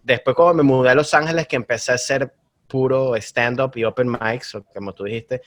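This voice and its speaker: A man with a Venezuelan accent, a stand-up comedian chatting as an interview guest in a relaxed, rambling way. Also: mid-range voice, brisk. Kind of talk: casual conversation.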